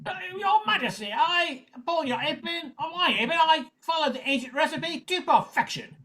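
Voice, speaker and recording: A woman's voice: sits high, lively intonation, normal speed, over a noisy crowd. A woman playing a nervous, fawning royal baker in a comic radio play, stammering and flustered.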